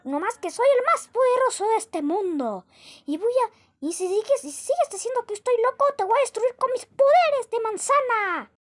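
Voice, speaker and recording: A woman putting on a shrill boastful mad apple. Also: animated, moderate pace. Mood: boastful threat. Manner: shouty, grandiose, threatening. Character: boastful unhinged male apple with powers.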